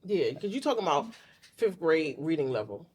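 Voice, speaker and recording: Woman host, low-ish voice, animated pitch, blunt, playful. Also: fairly quick, breathy edge. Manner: blunt, emphatic, playful questioning. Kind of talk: casual talk-show conversation.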